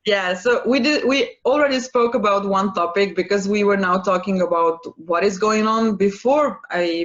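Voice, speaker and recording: A woman's voice: mid-range voice, lo-fi sound. Slovene-accented woman, hesitant and giggly. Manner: hesitant, many restarts and laughs. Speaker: quantum healing practitioner, co-host. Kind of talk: casual chat to viewers.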